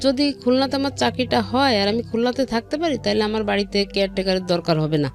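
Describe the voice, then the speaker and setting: A middle-aged woman with a Bangladeshi accent tells a personal story in an interview. Her voice sits a little high, and she talks conversationally, recounting exchanges in detail. Soft music plays behind her. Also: quick pace. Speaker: guest telling own life story. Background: background music.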